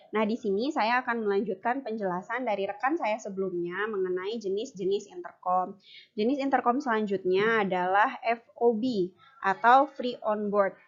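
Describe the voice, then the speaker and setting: Young woman presenting, dull recording. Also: medium pitch. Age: young adult. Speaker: university student presenter. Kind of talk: explanatory class presentation.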